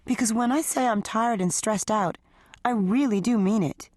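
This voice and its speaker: A woman voicing an exhausted, stressed wife who speaks in a pleading, strained way, a little higher than her narration. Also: normal speed, darkish tone, no room sound, studio quality, lightly acted. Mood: upset.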